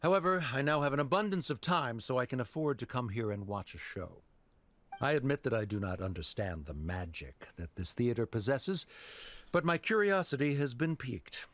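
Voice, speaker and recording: A man's voice: mid-range voice, lively intonation, moderate pace, fairly bright tone, dry recording, natural voice. An actor playing a stern, formal middle-aged father in a video game, stiff and hesitant in his speech. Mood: stiff, reflective. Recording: music faintly behind.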